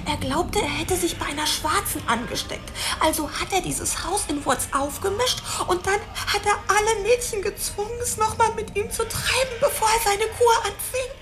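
An actress playing a worldly but intimidated witness, confiding hesitantly and colloquially in a radio drama. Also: female voice, extremely high pitch, moderate pace. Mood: confiding, uneasy. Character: worldly, intimidated female witness telling of abuse. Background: music.

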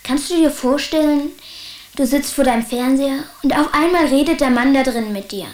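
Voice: high voice, normal speed, natural voice. A performer playing a curious, persistent young boy who asks earnest questions in a German radio drama. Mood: earnest, pensive. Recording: roomy sound, faint noise, clicks.

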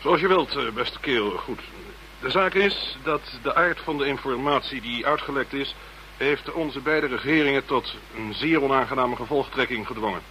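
A man's voice: medium pitch, moderate pace, bright, forceful tone, a little hiss or hum, lightly acted, low-quality recording. A man playing a pompous, courteous British government minister, grave and formally polite, in a radio play.

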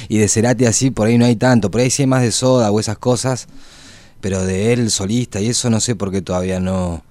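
A man's voice: fairly low pitch, steady tone, brisk, darkish tone, no room sound. A man with a Rioplatense Argentine accent, talking in an informal, colloquial way as a musician being interviewed. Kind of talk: casual interview chat.

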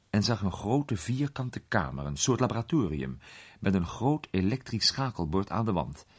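An actor playing a curious amateur sleuth who tells a suspenseful mystery story in animated, colloquial radio drama narration. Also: male voice, sits low, husky. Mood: tense, intrigued. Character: curious male amateur sleuth recounting a suspenseful night adventure.

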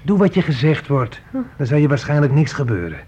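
A man's voice: a little high, sing-song, fairly quick, dark tone, breathy edge. An actor playing a menacing, bitter grown son who taunts and bosses his mother around in a radio play. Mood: taunting. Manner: taunting, bossy, mock-friendly. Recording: muffled old radio play recording.

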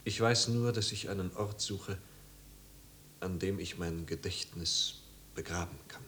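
An actor playing a tormented, melancholy young wanderer in a 1950s radio drama, brooding and anguished. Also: male voice, sits low, measured pace, fairly bright tone. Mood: pained longing. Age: young adult. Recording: old radio recording with hiss and hum.

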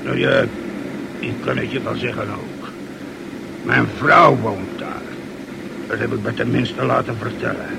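An actor playing a hot-tempered, hard-drinking sailor in a radio play, blustering and quick to threaten. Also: male voice, medium pitch, fairly even intonation, vocal fry. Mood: grim. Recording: noisy old radio play recording.

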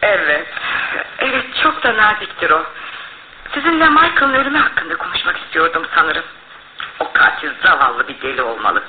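A woman's voice: lowish voice, very animated, normal speed. An actress playing a frail, tired aristocratic lady, querulous and hesitant, in a radio drama. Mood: gracious, vague. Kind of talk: Turkish radio theatre acting. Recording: tinny, band-limited old radio broadcast, faint noise.

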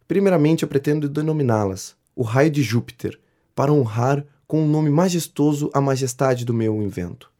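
Man acting a pompous, flattering, mellow-voiced inventor. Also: medium pitch, normal speed, close to an everyday voice. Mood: proud, grandiose. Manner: grandiloquent, effusive, theatrically indignant. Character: pompous, flattering male inventor petitioning a politician for privilege. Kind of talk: audio drama acting of a 19th-century comedy.

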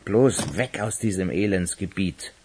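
A man's voice: low-pitched, measured pace, darkish tone, husky. A man playing a cynical, sneering criminal accomplice in a radio crime drama, sarcastic and theatrical. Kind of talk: German radio play acting.

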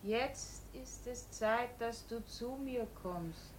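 An actress playing a calm, otherworldly inner voice that summons a man, solemn and measured, in a radio play. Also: on the high side. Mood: solemn, beckoning. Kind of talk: German radio play acting. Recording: noisy old radio play recording.

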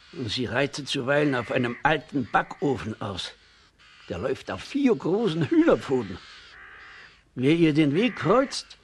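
A man playing a kindly, worried old villager in a children's radio play, husky and gravely cautioning. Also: mid-range voice, a little hiss or hum.

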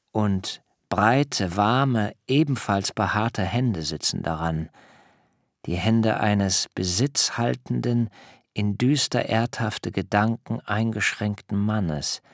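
Casting: smooth-tongued fugitive nephew for radio play. Very unhurried, declamatory, gravelly fry in the voice. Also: male voice, fairly low pitch, no echo, studio quality. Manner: measured, ornate, declamatory. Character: destitute but proud, eloquent fugitive nephew, smooth-tongued. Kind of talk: literary radio drama acting.

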